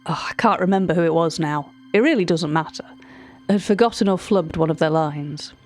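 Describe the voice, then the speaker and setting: A woman's voice: deep voice, a little fast, faint music behind. A woman playing an anxious, wordy narrator confiding strange events in an audio drama monologue. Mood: irritated, confiding. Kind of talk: audio drama monologue, recorded-tape account.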